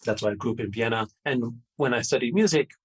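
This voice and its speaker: A man's voice: mid-range voice, fast talker, breathy edge. A middle-aged man from Austria, answering interview questions in a rambling, conversational way with many fillers and restarts. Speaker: podcast guest.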